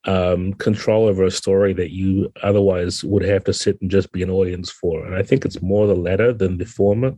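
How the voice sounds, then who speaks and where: Low, husky man from New Zealand. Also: steady tone, brisk, hardly any pauses, mellow, no echo. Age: 50s. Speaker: interview guest, game designer. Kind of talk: casual conversational interview.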